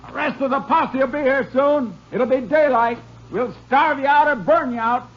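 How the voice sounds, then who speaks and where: An actor playing a commanding, taunting Texas Ranger calling out loudly in a 1950s radio western. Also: male voice, very high-pitched, normal speed. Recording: muffled, echoey, noisy old radio recording.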